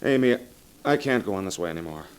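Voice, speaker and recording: An actor playing a weary, guilt-ridden writer husband, earnest and melodramatic, in a 1940s radio drama. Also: male voice, low voice, expressive, fast talker, smooth. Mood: desperate, exasperated. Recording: vintage 1940s radio recording.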